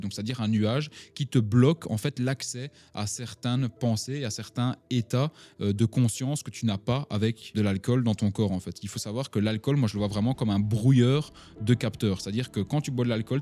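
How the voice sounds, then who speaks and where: A man's voice: on the low side, warm tone. A man in his twenties with a Belgian French accent, talking casually and directly to his viewers about his own life. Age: 20s. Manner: chatty, addresses the listener directly, many fillers. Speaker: online entrepreneur and vlogger. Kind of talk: casual talk to camera.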